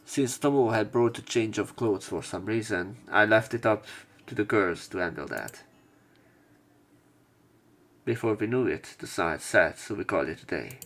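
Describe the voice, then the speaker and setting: A man's voice: fairly low pitch, lively intonation, moderate pace, fairly bright tone. A man reading visual novel narration aloud as a let's player, with casual commentary.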